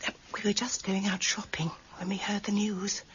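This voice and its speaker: A woman's voice: mid-range voice, level tone, normal speed, husky, lo-fi sound. An actress playing a fussy, apologetic, well-meaning lady in a radio crime drama, hesitant and fluttery. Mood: flustered, apologetic.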